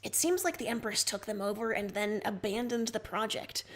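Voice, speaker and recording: A woman's voice: mid-range voice, animated, normal speed, a bit bright. A woman voicing a flustered, stubborn young alien emperor in a livestreamed story, huffy and defensive. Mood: matter-of-fact.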